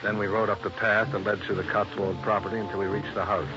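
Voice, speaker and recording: An actor playing a wry, hardboiled American investigator, dry and wisecracking, in old radio detective drama. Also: male voice, lowish voice, level tone, fast, music in the background, natural voice. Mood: wry narrating. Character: wry, hardboiled American insurance investigator narrating his case. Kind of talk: 1950s radio detective narration and dialogue. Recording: muffled old radio recording.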